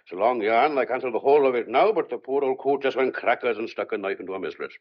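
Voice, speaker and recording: An actor playing a gruff but kindly, exasperated police sergeant in a thriller audio drama, with a blunt, coaxing, colloquial way of talking. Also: male voice, medium pitch, quick pace, highs cut off, natural voice. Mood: gossipy, explanatory. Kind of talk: old-fashioned thriller audio drama acting.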